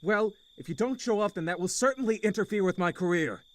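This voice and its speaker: A man playing a weary, middle-aged uncle with a failing marriage, earnest and measured, in a visual novel game. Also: sits high, natural voice. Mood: frustrated, firm.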